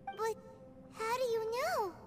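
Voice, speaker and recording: An actress voicing a tiny, excitable, childlike fairy companion in a video game, squeaky and exclamatory. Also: female voice, slow, soft background music. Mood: worried.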